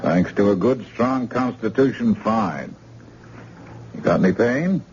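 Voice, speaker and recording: A man plays a steady hospital doctor in a vintage radio play, lowish voice with a lively lilt. his tone stays measured and firm, and the recording is dull with a faint hiss. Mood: reassuring. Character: calm middle-aged hospital doctor, protective of patient. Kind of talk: old-time radio drama acting.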